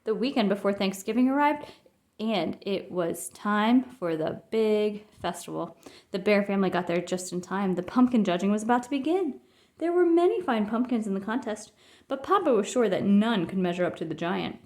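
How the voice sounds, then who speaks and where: A woman reading a children's picture book aloud as an expressive storyteller. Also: medium pitch, moderate pace. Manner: expressive, acts out dialogue.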